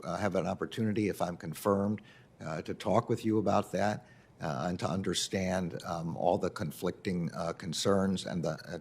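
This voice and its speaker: A man testifying as a nominee over a video call, answering in careful, halting, measured sentences. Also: low-pitched, a little mellow, breathy edge. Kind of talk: formal hearing testimony.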